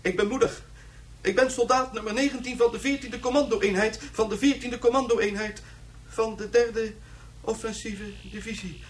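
A man's voice: very high voice, fairly even intonation, normal speed. A man playing a stubborn captured young soldier, reciting defiantly and mechanically in a radio drama.

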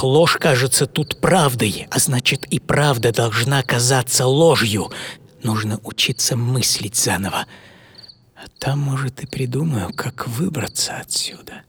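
An actor playing a proud, philosophical prisoner of the eighteenth century in a first-person audio drama monologue, theatrical and intense. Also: male voice, medium pitch, lightly acted. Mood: reflective. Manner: theatrical, intense, swinging from hushed whispers to agitated cries. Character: imprisoned 18th-century adventurer, proud, brooding, philosophical man. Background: music under the voice.

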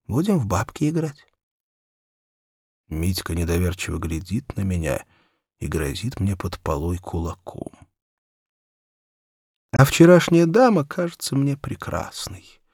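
A man narrating a children's story in an expressive, theatrical first-person reading, moving between hushed and excited delivery. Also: on the low side, slightly rough, no room sound, clean studio sound. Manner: theatrical, animated, swinging from hushed whispers to excited exclamation. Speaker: audiobook narrator.

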